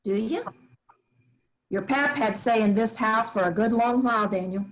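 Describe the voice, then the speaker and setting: A woman's voice: a little high, normal speed, lightly acted, low-quality recording. A woman reading the part of a stern rural grandmother, in a staged play reading. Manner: folksy, firm, drawling country talk. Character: stern rural grandmother, family matriarch, firm.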